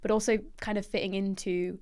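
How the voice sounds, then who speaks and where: A woman's voice: mid-range voice, little pitch movement, fairly quick, a little mellow. A woman hosting a podcast interview, asking questions in a casual, affirming way. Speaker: podcast host.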